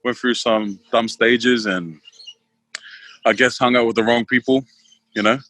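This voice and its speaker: A man's voice: medium pitch, fairly even intonation, measured pace, a bit bright. A man in his twenties from Queensland with an Australian accent, a podcast guest talking casually, laid-back with lots of fillers. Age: 20s. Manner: laid-back, many fillers, laughs easily. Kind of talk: casual interview talk.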